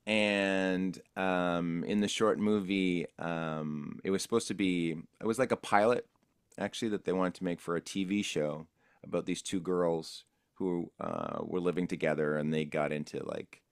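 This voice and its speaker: Low-voiced man, dry close sound. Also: steady tone, a little slow, clear, resonant tone, no room sound. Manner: easygoing, rambling storyteller. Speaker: voice actor guest. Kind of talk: casual interview recollection.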